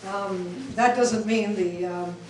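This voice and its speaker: A middle-aged woman who grew up in Switzerland, speaking to an audience in a halting way with many ums and restarts. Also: mid-range voice, a little mellow. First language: German. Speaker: invited speaker. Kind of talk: public talk with audience questions. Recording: echoey room, distant mic, faint hiss.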